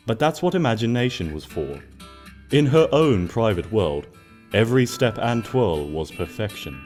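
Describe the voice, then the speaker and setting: A man narrating a scripted story expressively, in a gentle storybook manner. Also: sits low. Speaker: fan fiction narrator.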